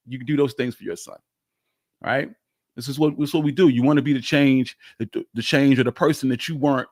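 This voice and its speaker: A man's voice: medium pitch, dry recording, studio quality. A man hosting a live history stream, talking casually and emphatically as he answers questions, often repeating phrases for weight.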